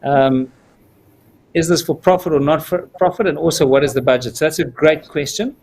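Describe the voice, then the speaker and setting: A man's voice: fairly high pitch, steady tone, moderate pace, vocal fry, a little mellow. A man with a South African accent, a film producer answering viewer questions at length on a livestream, with frequent fillers.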